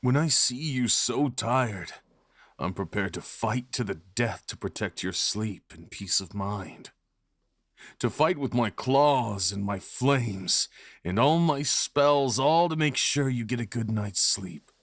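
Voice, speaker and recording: Guy doing a devoted fox spirit husband asmr, soft tender rambling, really slow, dry close recording. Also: medium pitch, lively intonation, no echo. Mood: doting, playful. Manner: soft, tender rambling with breathy murmured endearments. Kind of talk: intimate ASMR roleplay.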